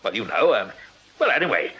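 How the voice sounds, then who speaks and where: An actor playing a blustering, easily exasperated Victorian Major with an upper-class English accent, speaking in clipped exclamations in a radio drama. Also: male voice, on the low side, very animated, fairly quick, pushed and bright, husky, muffled recording, heavily acted. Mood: sceptical. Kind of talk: period radio drama acting.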